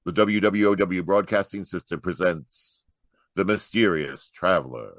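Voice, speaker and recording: A man's voice: sits low, measured pace, a bit bright, slight background noise. An actor playing an upbeat old-time radio announcer with a polished salesman's delivery. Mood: grand.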